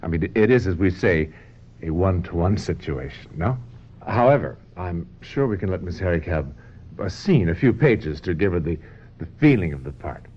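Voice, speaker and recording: An actor in a radio drama playing a suave, domineering film director who persuades with repetitions and little tag questions. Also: male voice, low voice, animated, normal speed, slightly rough. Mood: smooth, insistent. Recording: dull, noisy old radio recording.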